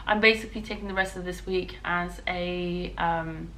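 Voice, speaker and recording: Female British vlogger, lowish hoarse voice with fry, chatty and informal. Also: fairly even intonation, moderate pace, fairly bright tone, a little hiss or hum, poor audio. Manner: rambling, chatty. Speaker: knitting vlogger and designer. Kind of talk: casual vlog chat.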